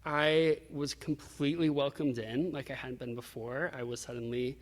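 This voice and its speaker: A man's voice: mid-range voice, steady tone, normal speed. A man being interviewed, telling personal stories in a reflective, casual way with acted-out asides. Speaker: interview guest. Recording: reverberant hall-like echo.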